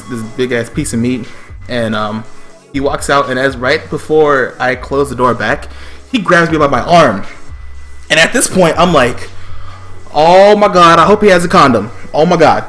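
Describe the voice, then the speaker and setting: A man's voice: mid-range voice, moderate pace, fairly bright tone, faint music behind. A man telling a personal story on a stream in a casual, slangy, profane way, acting out the quotes.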